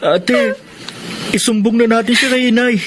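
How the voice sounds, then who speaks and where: An earnest, protective younger brother. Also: male voice, very high-pitched, normal speed, very noisy. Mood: upset.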